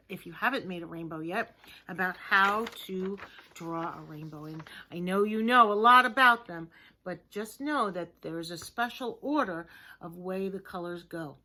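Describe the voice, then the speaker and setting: A woman teaching young children in a lesson to camera, speaking simply and encouragingly with deliberate, emphatic phrasing. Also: mid-range voice, bright, forceful tone.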